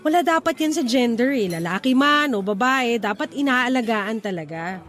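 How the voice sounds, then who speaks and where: A woman's voice: a little high, lively intonation, normal speed, a bit bright, soft background music. An actress playing an independent, frank young single woman in radio romance drama, speaking conversational Taglish in a reflective, assertive way.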